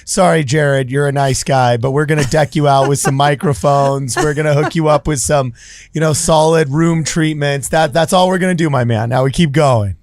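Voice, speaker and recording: A man's voice: a little high, moderate pace, dry recording. A man moderating a casual reaction panel in a jokey, slangy way, close on the microphone. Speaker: panel host.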